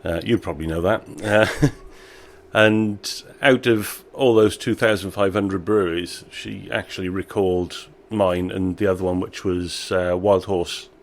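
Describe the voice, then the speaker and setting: A man who owns a small brewery, chatting in an interview with a relaxed, self-deprecating manner and frequent laughter. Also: low voice, a little slow. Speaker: brewery owner. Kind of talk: casual interview chat.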